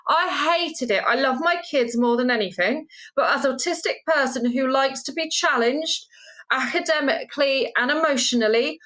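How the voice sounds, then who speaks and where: A woman's voice: high-pitched, a bit bright. A middle-aged woman co-hosting a casual commentary show, making her points with passionate emphasis. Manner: emphatic, impassioned ranting. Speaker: co-host. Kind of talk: casual social media commentary.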